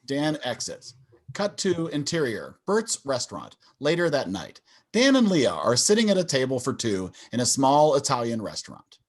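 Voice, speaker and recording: A man's voice: medium pitch, wildly swooping pitch, moderate pace. A man narrating stage directions for a scripted sitcom table read over a video call.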